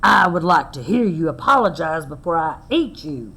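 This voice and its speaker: A woman voicing a big grumpy male bear with a low, growling, threatening manner. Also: lively intonation, moderate pace, a bit bright, slight background noise. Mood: menacing.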